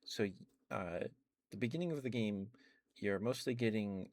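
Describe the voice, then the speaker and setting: A man speaking softly in a chatty ASMR ramble, close to the microphone, meandering with soft chuckles. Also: on the low side, moderate pace, no echo. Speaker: ASMR streamer.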